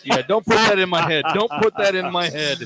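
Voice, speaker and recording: A man's voice: high voice, fairly quick, over loud music. A man working as a play-by-play sportscaster, giving live pre-game commentary on sports radio. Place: courtside in a sports arena. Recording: crowd noise of an arena. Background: arena crowd.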